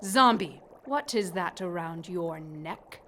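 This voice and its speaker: A woman's voice: on the low side, animated, slow, noisy background. A woman playing an imperious, irritable older mad scientist in an audio drama, speaking in portentous phrases. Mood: cryptic, brooding. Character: stern, cryptic older female mad scientist.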